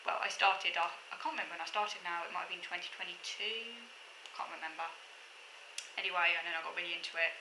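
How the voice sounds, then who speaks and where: A woman's voice: fairly low pitch, fairly even intonation, fast, echoey room, background noise. A woman in a casual rambling monologue, recorded at home, chatting with lots of ums and restarts. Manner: chatty, many ums and restarts, self-deprecating asides. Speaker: vlogger. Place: room at home.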